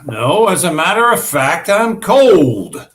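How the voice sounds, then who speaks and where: A man's voice: high voice, sing-song, takes it slowly, a bit bright, heavily acted. A man playing a grumpy, indifferent husband who is dry, curt and sarcastic, in a staged play reading. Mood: dry, dismissive.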